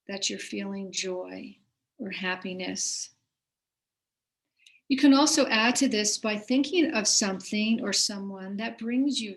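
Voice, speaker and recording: A woman leading a guided meditation as a teacher, giving gentle, unhurried instructions with pauses between phrases. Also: medium pitch.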